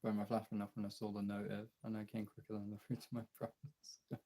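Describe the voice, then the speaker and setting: A British man with a raspy, hoarse voice from being ill, chatting casually and swearily on a livestream. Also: on the low side, completely flat delivery, fairly quick, dark tone. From Britain. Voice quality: raspy, throaty. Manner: informal, sweary. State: hoarse from illness, throat clearing. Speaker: live streamer and audio voice performer.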